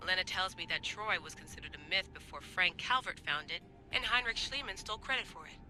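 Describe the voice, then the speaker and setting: A woman playing a confident, determined young assassin researcher in a game cutscene, brisk and casual. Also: on the low side, sharp tone, soft background music. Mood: wry, musing. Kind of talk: video game cutscene acting.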